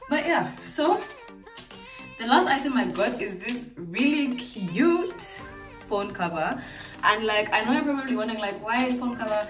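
A woman's voice: fairly high pitch, animated, unhurried, a bit bright. Chatty Zambian teen girl in echoey room. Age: late teens. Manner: chatty, bubbly, lots of fillers. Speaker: vlogger. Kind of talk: casual try-on haul chat. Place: living room at home. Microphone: camera mic from across the room. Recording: echoey room, distant mic, faint music.

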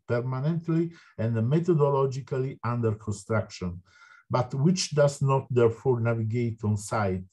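A man's voice: mid-range voice, warm tone. Italian man reading a formal speech. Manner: formal, slightly halting reading. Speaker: board member. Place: indoor office with wooden wall. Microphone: video call.

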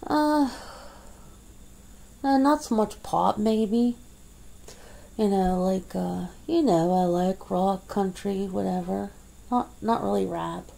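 Slow, gravelly woman chatting softly. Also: mid-range voice. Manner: rambling, chatty, laughs often, many fillers. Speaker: ASMR creator. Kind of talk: ASMR roleplay, one-sided chat with an unseen partner. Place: indoors at home.

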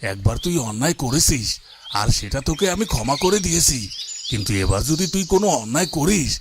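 A man's voice: medium pitch, sing-song, quick pace, background noise. A man playing a stern, grudgingly forgiving master in a cartoon fairy tale, talking in a scolding way. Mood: stern, warning.

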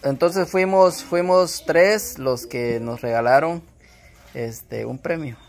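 Very low-voiced woman vlog host. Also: a little mellow. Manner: halting, repeats words, lots of fillers. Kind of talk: casual chat with viewers. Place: outdoor patio at a home.

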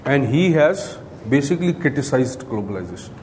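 A man's voice: medium pitch, very animated, brisk, a little mellow. A middle-aged man with an Indian accent giving a classroom lecture, explaining point by point and often checking in with "right?". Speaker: coaching lecturer. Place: indoor classroom, at a podium beside a screen. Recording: echoey room with clear background noise.

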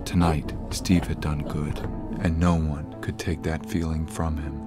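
A man's voice: extremely low pitch, little pitch movement, a little slow. A man narrating a scripted horror story as the narrator of an audio drama. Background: music.

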